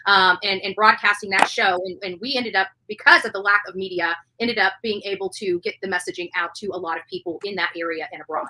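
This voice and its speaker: A woman's voice: medium pitch, fairly even intonation, fast talker, hardly any pauses, sharp tone, a little hiss or hum, low-quality recording. A middle-aged woman hosting a livestream, giving indignant commentary in an emphatic way, repeating phrases for stress. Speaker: livestream host. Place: bedroom, indoors.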